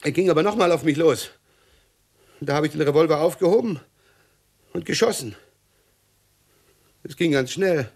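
An actor playing a weary, talkative, suspicious husband in a 1960s radio crime play. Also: male voice, fairly high pitch, normal speed, pauses often, darkish tone. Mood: agitated, shaken.

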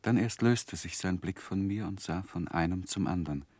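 A low-pitched, closely recorded gentleman narrator in a radio drama. He is sensitive and measured, with deep feeling weighing on his dramatic delivery of painful memories. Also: no room sound. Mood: sorrowful, haunted. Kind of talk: 1960s German radio play narration.

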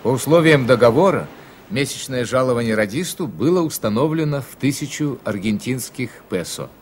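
An actor playing a sober narrator who reads a ship's log in a radio drama, official and deliberate. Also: male voice, mid-range voice, lively intonation, close to an everyday voice. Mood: matter-of-fact. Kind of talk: formal document reading in radio drama. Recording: faint noise behind.

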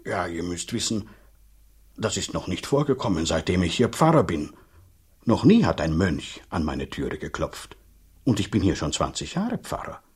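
A blunt, talkative village priest for radio drama. His voice is low and husky, swinging widely as he grumbles. He is a gruff, suspicious middle-aged man. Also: sing-song, normal speed, a little mellow, exaggerated voice. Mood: apologetic, grumbling.

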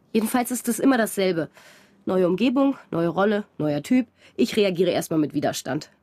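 A husky, guarded young woman. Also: on the low side, a little mellow, dry recording. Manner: restless, self-mocking, smoker. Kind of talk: radio drama dialogue.

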